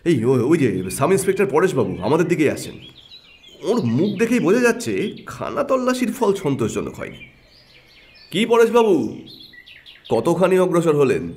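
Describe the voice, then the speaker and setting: A man's voice: on the high side, big pitch swings, moderate pace, pauses often, darkish tone. An actor playing a keen, confident male detective who reasons emphatically in an audio mystery drama. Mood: brisk, inquiring.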